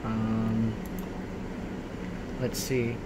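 A man giving a casual, rambling software tutorial, often checking in as he goes, recorded at home on a handheld camera. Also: fairly low pitch, extremely slow. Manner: rambling, checks in with frequent "right?". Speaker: tutorial host. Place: indoors in a room at home. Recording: noisy amateur home recording. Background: clear steady background noise.

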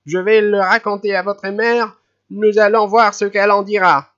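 A man putting on a shrill scolding old lady. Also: flat delivery, moderate pace, a bit bright, natural voice. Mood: outraged. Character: cranky fussy old lady passenger. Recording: dull lo-fi old recording.

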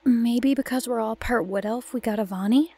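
Female part-elf adventurer played by an actress, fantasy audio drama, anxious doubter, high voice over faint music. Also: moderate pace, dark tone, breathy edge. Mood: curious, polite. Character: anxious, skeptical part-elf woman messenger.

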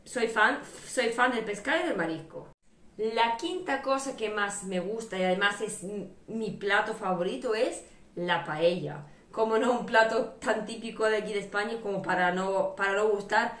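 A woman's voice: medium pitch, normal speed, a bit bright. A woman in her thirties from Bulgaria chatting casually and enthusiastically to camera as a vlogger. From Sofia, Bulgaria. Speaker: vlogger, channel host. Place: small bare-walled room indoors. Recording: roomy echo, distant microphone.

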